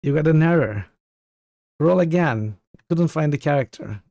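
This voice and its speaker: Hoarse man streaming from a dry room. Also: on the high side, lively intonation, a little mellow, no echo. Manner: rambling and halting, thinking aloud. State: hoarse from illness, losing his voice. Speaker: game streamer. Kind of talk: casual livestream chat.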